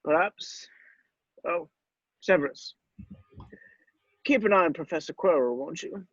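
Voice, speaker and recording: A man playing a grave, shrewd old wizard headmaster in a screenplay table read, speaking in a measured, deliberate way. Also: fairly high pitch, no echo, lo-fi sound. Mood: calm, wry.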